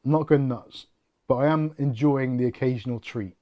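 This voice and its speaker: A man's voice: medium pitch, normal speed, warm tone, muffled recording. A middle-aged British man hosting a casual livestream, rambling and joking as he reads out viewer comments. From United Kingdom. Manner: rambling, jokey, self-deprecating. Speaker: host of a tech channel livestream.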